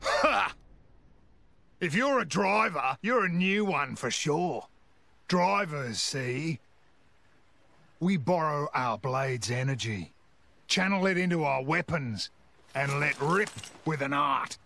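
An actor playing a gruff, brash middle-aged mercenary boss in a video game, swaggering and taunting in folksy slang. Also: male voice, fairly high pitch, lively intonation, slow pace, long pauses, sharp tone, heavily acted. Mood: hearty blunt. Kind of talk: video game cutscene voice acting. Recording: music behind the voice. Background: game soundtrack music.

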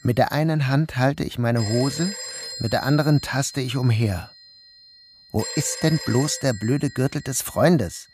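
An actor playing a troubled grown son who narrates his own story in an introspective, measured literary style. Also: medium pitch, background noise, lightly acted. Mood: uneasy, observant. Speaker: first-person narrator and protagonist. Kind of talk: literary audio drama narration.

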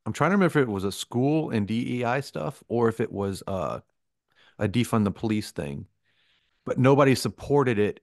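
Lower-voiced American man, casual and dry-recorded. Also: darkish tone, no echo. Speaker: podcast co-host. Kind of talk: casual opinionated conversation.

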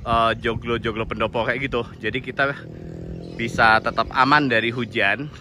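A man's voice: mid-range voice, fairly even intonation, moderate pace, background noise. A man casually narrating a walk-through tour of a cafe and guesthouse, pointing things out as he goes, recorded on a handheld phone. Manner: friendly, informal, points things out as he walks. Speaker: vlogger touring a cafe and guesthouse. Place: outdoors and indoors at a mountain cafe and lodging.

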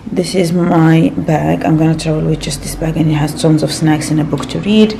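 Croaky woman vlogging in her flat. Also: deep voice, moderate pace, background noise. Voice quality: gravelly. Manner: relaxed, rambling, many fillers. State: croaky from lack of sleep. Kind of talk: casual vlog talk to camera. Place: small apartment bedroom, indoors. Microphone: handheld camera at arm's length.

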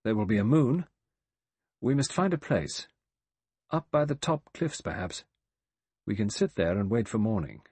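Man playing a cool sardonic adventurer, husky. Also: medium pitch, very animated, a little slow, long pauses, a little mellow, no room sound, voice pushed up, heavily acted. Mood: thoughtful. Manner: easy, mocking, thoughtful.

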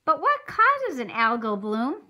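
A woman's voice: fairly high pitch, wildly swooping pitch, measured pace, pushed and bright, heavily acted. A woman playing an eager, friendly fifth-grade girl with an encouraging manner in a scripted puppet show.

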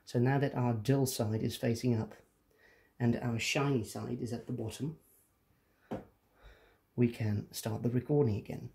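A man's voice: mid-range voice, steady tone, unhurried, creaky, long pauses, warm tone. A man presenting a casual hands-on tutorial, explaining things step by step.

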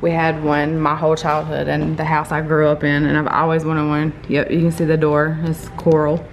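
A woman's voice: deep voice, monotone, normal speed. A middle-aged woman giving a casual, chatty house tour as she walks through rooms holding the camera. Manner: chatty, informal. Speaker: vlogger. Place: inside a furnished house, walking between rooms. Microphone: handheld camera, held by the speaker. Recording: handheld camera audio with faint room noise.

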